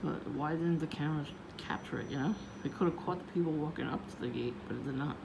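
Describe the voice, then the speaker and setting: New lady chatting low into her phone, rambly, lots of like and you know, noisy recording. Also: fast, vocal fry. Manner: chatty, meandering, frequent fillers and restarts. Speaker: vlogger. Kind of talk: casual rambling vlog. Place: outdoors, windy.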